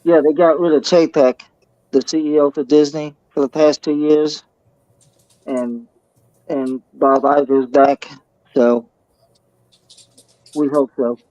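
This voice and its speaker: A man in casual group chat about his likes, talking in a halting, earnest way with many restarts. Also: a little high, flat delivery, warm tone, no room sound, muffled recording. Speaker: guest talking about himself.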